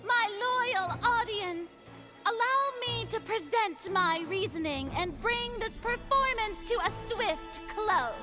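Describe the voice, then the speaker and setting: A woman's voice: very high voice, animated, takes it slowly, full character voice. A woman voicing a dramatic, showy female archon in a game cutscene, speaking grandly as if performing to an audience. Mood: grandiose. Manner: grandiose, theatrical, performing for a crowd. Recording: game audio with music.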